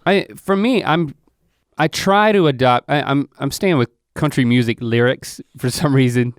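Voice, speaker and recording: An easygoing man in a casual chat, talking in a relaxed, philosophical way. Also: mid-range voice, normal speed, smooth, no room sound, clean studio sound.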